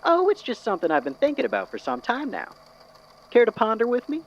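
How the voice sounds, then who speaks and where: An actor playing an eccentric, courteous, musing storyteller with a folksy, wordy, theatrical manner in an audio drama. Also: male voice, very high voice, wildly swooping pitch, brisk, exaggerated voice. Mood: affable, chatty. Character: eccentric, courteous, philosophical storyteller man from Tennessee. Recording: dull lo-fi audio with clear noise.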